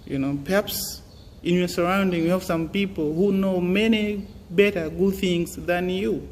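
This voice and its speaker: Young man, earnest and halting; echoing room, faint hiss. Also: a little high, a little mellow. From Tanzania. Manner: earnest, halting, many fillers and restarts. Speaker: student giving advice. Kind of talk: casual motivational talk.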